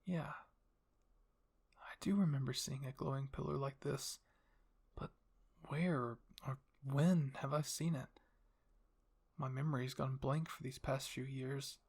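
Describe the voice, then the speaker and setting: A man performs first-person ASMR story narration. His voice sits at a middling pitch, with plenty of vocal fry and a rough, breathy husk. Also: fairly even intonation, a little slow, pauses often, a little mellow.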